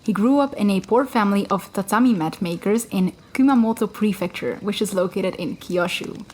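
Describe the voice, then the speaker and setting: A woman's voice: medium pitch, fairly quick, darkish tone, poor audio. A woman co-hosting a true-crime podcast, narrating from her research in a casual way, with fillers and hedges. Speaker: podcast co-host.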